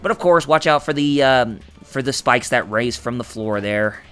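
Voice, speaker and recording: Male let's-play host, hoarse and raspy, chatty and rambling; bright tone. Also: medium pitch, normal speed, soft background music. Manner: rambling, full of fillers and restarts, jokey. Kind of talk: gameplay commentary.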